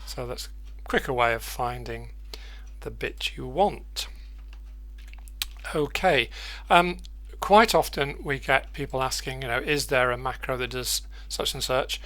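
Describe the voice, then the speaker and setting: Man, software tutorial presenter, mid-pitched, unhurried pace, off-the-cuff, hesitant, repeats words while thinking aloud. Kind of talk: unscripted screen-share tutorial.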